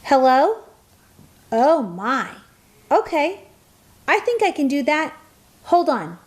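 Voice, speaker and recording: A gentle mother in a radio play, patient and slightly flustered. Her high voice moves up and down a lot, and she chooses her words with care. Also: sing-song, slow pace, a little hiss or hum, exaggerated voice. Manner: careful, hesitant, patient.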